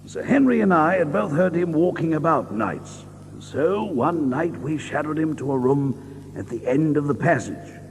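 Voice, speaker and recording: An actor playing an earnest, thoughtful gentleman doctor, measured and formal in an audiobook drama. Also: on the high side, lively intonation, slight background noise, lightly acted. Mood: measured, reflective. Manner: measured, literary, formal phrasing. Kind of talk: dramatized audiobook narration and radio-play acting. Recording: long reverb, music underneath.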